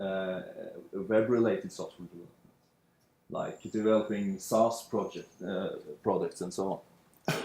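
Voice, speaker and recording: A man with a Norwegian accent introduces a university seminar in a loose, hesitant way, full of fillers and restarts. His voice sits fairly low. The classroom around him is roomy and echoey. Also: darkish tone. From Norway. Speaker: lecturer. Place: lecture room.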